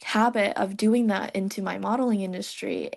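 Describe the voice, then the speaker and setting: A young woman from Vietnam, a model and podcast guest, telling her story in a relaxed way with many ums. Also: mid-range voice, normal speed, vocal fry. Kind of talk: casual interview chat.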